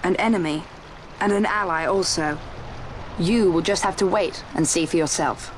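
A man playing a polite, diplomatic young imperial prince in a video game cutscene, speaking formally and in measured phrases over music. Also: sits high, a little hiss or hum, natural voice. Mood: pleased, secretive. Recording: orchestral music behind the voice.

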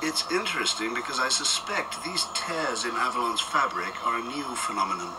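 A man plays a formal, pedantic expert on the occult who lectures precisely with a lively pitch. He sounds a little off-mic over heavy noise. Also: brisk, far from the mic, close to an everyday voice. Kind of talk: radio drama acting. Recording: noisy track, voice slightly off-mic.

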